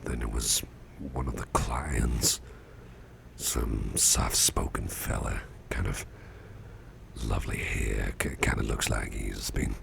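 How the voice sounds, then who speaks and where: An actor playing a sickly, gruff but genial boss in an ASMR roleplay, musing slowly and haltingly in a half-whisper close to the microphone. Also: male voice, fairly even intonation, fairly bright tone, slight background noise, heavily acted. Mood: musing, uneasy. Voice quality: very deep, gravelly, breathy half-whisper. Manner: slow, halting, folksy musing with pauses.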